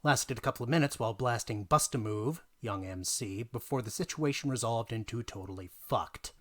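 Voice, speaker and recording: Guy doing a dramatic reading of a tabletop game story, mid pitch, theatrical, leans on words for effect. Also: a bit bright. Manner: theatrical, emphatic, deliberate pauses for effect. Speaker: narrator.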